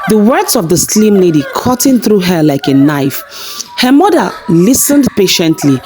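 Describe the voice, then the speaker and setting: A woman's voice: medium pitch, a little mellow, rough recording. A woman narrating a scripted folktale as a storyteller.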